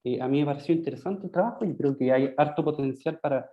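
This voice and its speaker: Chilean man, discussant, halting delivery with fillers, dull lo-fi call audio. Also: a little high. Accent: Chilean Spanish. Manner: hesitant, many fillers and restarts. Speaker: conference discussant. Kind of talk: academic Q&A comments.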